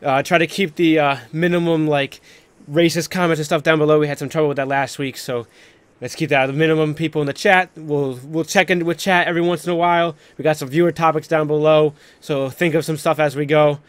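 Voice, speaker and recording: A man's voice: a little high, steady tone, fairly quick, smooth, no room sound. A man hosting a casual live stream chat, talking in a rambling, filler-heavy way with lots of "like". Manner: rambling, peppered with "like". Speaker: host of a live stream panel.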